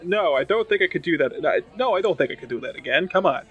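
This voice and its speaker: Slightly high-voiced man joking through a tabletop game. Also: expressive, husky, highs cut off. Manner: halting, self-deprecating, joking. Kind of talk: casual chat and roleplay.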